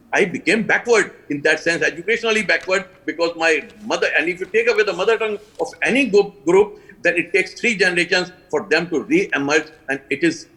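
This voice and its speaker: An older man with an Indian English accent gives an earnest TV panel answer. His voice sits high and sounds bright and a little husky, and he drives his points home by repeating them. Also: low-quality recording. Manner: earnest, emphatic, repeats key phrases. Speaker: panel guest, alliance co-founder. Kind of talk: live TV panel interview.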